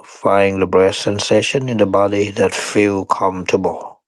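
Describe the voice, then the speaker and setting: Male meditation teacher, very slow and low, close dry sound, instructing in short spaced phrases. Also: flat delivery, no echo, low-quality recording. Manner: unhurried, short phrases with pauses; non-standard English grammar.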